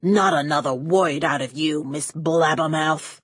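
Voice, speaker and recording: A woman voicing a menacing, contemptuous male villain who smokes cigars, with a slow, snappish, sneering delivery in an audiobook. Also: on the high side, a bit bright, breathy edge, dry recording, studio quality.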